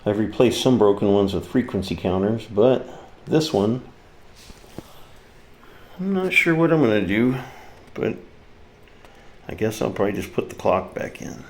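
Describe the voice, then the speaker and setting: A man's voice: lowish voice, lively intonation, measured pace, long pauses, a little mellow, a little hiss or hum. A man giving a casual, hands-on repair demonstration, talking through each step in a folksy, informal way. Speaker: repair video presenter. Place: kitchen, indoors.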